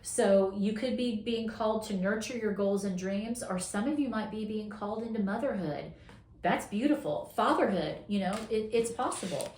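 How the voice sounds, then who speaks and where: A woman doing a casual weekly tarot reading, encouraging and affirming, her voice going hoarse as she talks. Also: medium pitch, a little mellow, roomy sound, a little hiss or hum. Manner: encouraging, affirming, drawn-out emphasis. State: losing her voice, coughing. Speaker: tarot reader.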